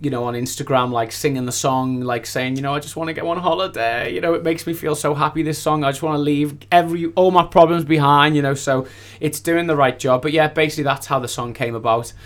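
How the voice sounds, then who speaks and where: A man's voice: on the high side, steady tone, fast, few pauses. A man speaking as a singer-songwriter guest in a casual interview, effusive and rambling, often repeating his phrases.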